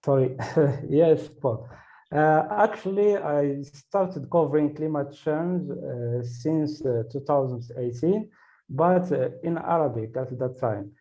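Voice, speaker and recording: Slow, halting man from Morocco. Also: fairly high pitch, mellow. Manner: halting, many fillers. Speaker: award-winning journalist. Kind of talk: webinar panel answer. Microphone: video call. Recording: dull lo-fi call audio.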